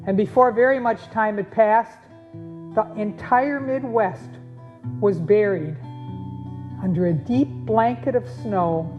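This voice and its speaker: A woman narrating a puppet show as storyteller, with dramatic, deliberate pauses. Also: mid-range voice. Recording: muffled sound, guitar music behind.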